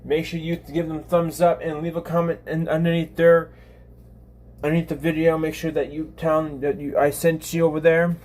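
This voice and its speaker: A man in his twenties with a slightly high-pitched voice hosts a casual livestream. He speaks in a halting way, often repeating himself and restarting phrases as he addresses his viewers. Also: a little mellow. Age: 20s. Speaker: live streamer, channel host.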